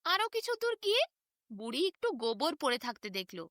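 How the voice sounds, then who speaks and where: Female storyteller for children's fairy tales; high, very lively delivery, dry close sound. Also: a little fast, no room sound. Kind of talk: animated children's fairy tale narration.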